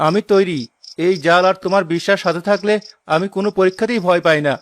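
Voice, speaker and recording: A man's voice: high-pitched, steady tone, fast, close to an everyday voice. A man voicing a poor, determined fisherman husband in a cartoon, speaking in an earnest, dramatic way. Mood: resolute.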